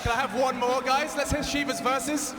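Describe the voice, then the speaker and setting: Excitable man hosting in a hall. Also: very high-pitched, a little fast, a loud crowd. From Netherlands. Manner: excitable, laughing banter. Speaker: event host. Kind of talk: live stage hosting. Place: large event hall. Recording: long hall reverb, loud background noise.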